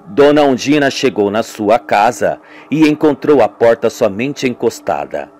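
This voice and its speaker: A man's voice: medium pitch, lively intonation, a little slow, darkish tone. A man with a Brazilian accent narrates a scripted radio serial theatrically, voicing each part himself. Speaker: narrator of an amateur radio serial, doing all the parts. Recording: music behind the voice. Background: music.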